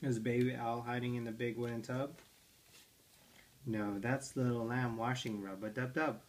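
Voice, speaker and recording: A man's voice: mid-range voice, steady tone, moderate pace, a little hiss or hum. A father reading picture books to his toddler at home, playful and coaxing, asking her questions about the pages. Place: living room armchair at home.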